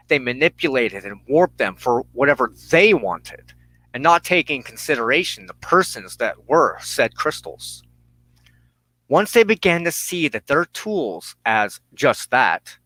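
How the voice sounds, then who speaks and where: Male spiritual host, slow halting delivery, reflective, close dry sound. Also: medium pitch, bright, forceful tone, no echo, rough recording. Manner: halting, deliberate phrasing.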